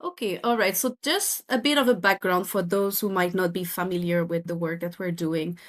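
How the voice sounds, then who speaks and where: A woman's voice: fairly low pitch, fairly even intonation, moderate pace, fairly bright tone. A woman from France with a French accent, in her thirties, earnestly explaining her work as a podcast guest, often restarting her phrases. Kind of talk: podcast interview.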